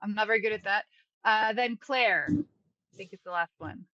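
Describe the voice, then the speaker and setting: Woman running a zoom class, bright tense voice, dry muffled call audio. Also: medium pitch, moderate pace, slight background noise. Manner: friendly, apologetic, chatty. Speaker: session host and instructor. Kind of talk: online class moderation. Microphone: video call.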